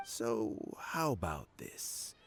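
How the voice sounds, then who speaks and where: An actor playing an aging, measured and thoughtful elder statesman in a video game, with a gravelly older voice. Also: lowish voice, sing-song, a bit bright, faint music behind, heavily acted.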